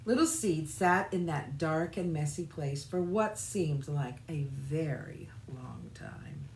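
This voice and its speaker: A woman reading a children's storybook aloud in an expressive, deliberate way, as scripted narration. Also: lowish voice. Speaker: children's storybook reader, church music director. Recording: roomy echo, faint background noise.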